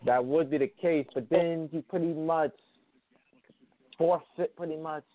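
Guy on a call getting defensive, bit higher voice, phone-quality audio. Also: steady tone, measured pace, no echo. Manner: defensive, blunt. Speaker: guest on call. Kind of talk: casual banter on a call.

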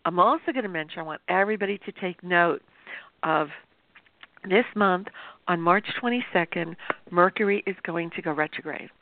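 Woman with a sore throat, gravelly, chatty and explaining stuff, thin phone-ish sound. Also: fairly low pitch, normal speed, slight background noise. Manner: chatty, explanatory, addresses viewers directly. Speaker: astrologer hosting a show. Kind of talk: informal talk-show monologue. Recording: tinny phone-like dry sound.

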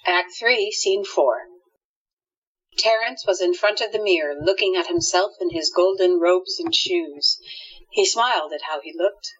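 A woman's voice: on the low side, unhurried, breathy edge. A woman reading scripted narration for an amateur audio drama. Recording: dull lo-fi recording.

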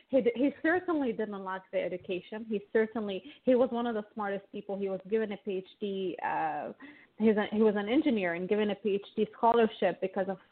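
A woman's voice: medium pitch, fairly quick. Woman from Lebanon on a tinny line. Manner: thoughtful, explanatory, with frequent restarts. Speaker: guest financial therapist. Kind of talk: casual interview talk.

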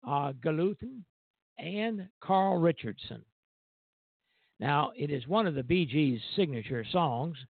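A man hosting a music radio show, reading history notes aloud in a halting way and stumbling now and then. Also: fairly high pitch, no echo. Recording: tinny narrow phone-line sound.

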